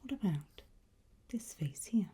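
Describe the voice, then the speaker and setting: A woman doing an ASMR roleplay as an examiner, whispering in a breathy, husky voice with a gentle, reassuring, encouraging manner. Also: medium pitch, expressive, measured pace.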